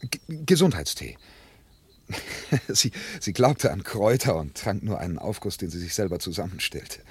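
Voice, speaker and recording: An anxious widower under suspicion in a radio crime play. His voice is lowish and very breathy, with agitated swings of pitch. He stammers and halts as he defends himself. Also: sing-song, full character voice. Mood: anxious, troubled. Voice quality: husky, breathy. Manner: halting, stammering, emotionally agitated. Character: distraught accused widower, anxious and protesting innocence. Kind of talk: German radio crime drama acting.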